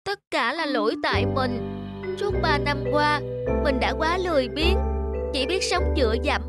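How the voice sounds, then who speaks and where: A woman voicing a vain, lazy young swan in an animated fairy tale, exclamatory and childlike. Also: high voice, normal speed. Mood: pleading, regretful. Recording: loud music behind the voice.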